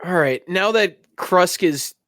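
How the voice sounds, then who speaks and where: Higher-voiced man running a tabletop game. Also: slow pace, fairly bright tone, dry recording, clean studio sound. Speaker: game master and host. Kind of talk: tabletop game narration and casual banter.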